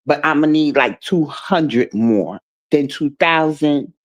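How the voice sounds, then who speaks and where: A host talks back at video clips in loose, blunt commentary, with sarcastic jabs dropped into casual speech. The voice sits at a middling pitch. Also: animated, takes it slowly.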